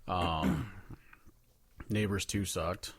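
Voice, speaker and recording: Male podcast co-host, lower register, opinionated casual talker, close dry sound. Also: steady tone, drawn-out words, no echo. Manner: opinionated, profane, informal. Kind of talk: casual chat.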